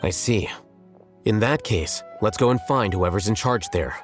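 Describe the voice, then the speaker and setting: A man playing an aloof, coolly logical young scholar in a video game, speaking in a measured, formal, matter-of-fact way. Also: lowish voice, lightly acted. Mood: businesslike. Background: game music.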